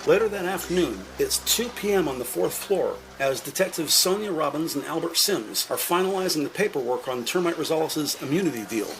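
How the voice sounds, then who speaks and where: A man reading scripted descriptive narration in a measured, announcer-like way. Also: fairly high pitch, expressive, background noise. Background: background music.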